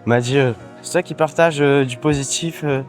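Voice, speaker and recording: A teenage boy, a social media creator, chatting casually as an interview guest, relaxed and rambling with many fillers and restarts. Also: medium pitch, fairly quick, soft background music. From Mauritian heritage, raised in France. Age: teens. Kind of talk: casual chat while walking.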